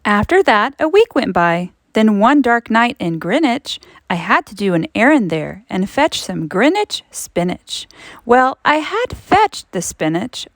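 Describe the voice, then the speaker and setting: A woman teacher reading a storybook aloud to children, expressively, with dramatic emphasis and suspenseful drawn-out phrases. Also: sits high, no room sound.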